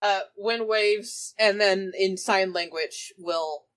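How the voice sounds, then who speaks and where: A woman's voice: medium pitch, slow. A woman playing a tabletop roleplaying game, casually narrating and speaking for her character.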